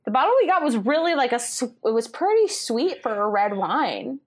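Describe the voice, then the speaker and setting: A woman's voice: a little high, sing-song, normal speed. Woman, Southern American accent, young adult, podcast co-host, rambling, keyed-up. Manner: rambling, self-interrupting, laughs often. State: wound-up, nervous energy. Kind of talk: casual tipsy chat.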